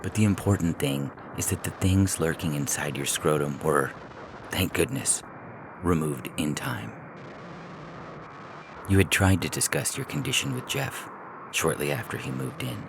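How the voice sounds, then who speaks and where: A man reading a scripted short story as narrator, in a measured, deliberate way. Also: low-pitched, lively intonation, creaky, noisy background. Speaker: narrator and podcast host.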